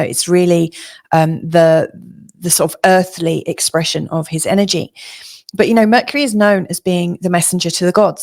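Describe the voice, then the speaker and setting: A woman with a low, gravelly voice talks through a weekly astrology forecast. She rambles and reflects, leaning on fillers as she goes. Her voice sounds like it is going hoarse. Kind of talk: solo forecast talk to camera.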